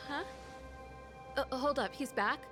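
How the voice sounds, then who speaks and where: An actress playing an easygoing, loyal rock musician in a video game, speaking casually and expressively. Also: female voice, high-pitched, measured pace, music in the background. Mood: flustered, evasive. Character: bold, easygoing adult rock-musician woman, loyal old friend.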